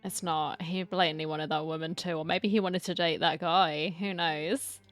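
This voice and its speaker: A woman with a slightly low voice comments casually on a game. She is blunt and sarcastic, using relaxed slang, with faint music playing behind her. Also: moderate pace. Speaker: gamer host reacting to a story quest.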